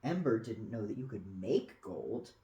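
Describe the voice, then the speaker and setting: A storyteller reading a picture book aloud expressively for children, with dramatic pauses and cooing asides. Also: mid-range voice, very animated, dark tone, lo-fi sound. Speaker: storybook reader. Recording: echoey room.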